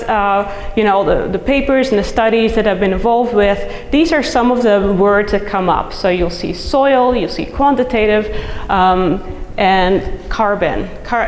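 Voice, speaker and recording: A woman's voice: mid-range voice, a little slow. A middle-aged woman from the Netherlands with a Dutch accent, giving an enthusiastic, chatty conference talk as a soil scientist, full of fillers and restarts. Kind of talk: conference presentation. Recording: echoey hall recording, faint noise.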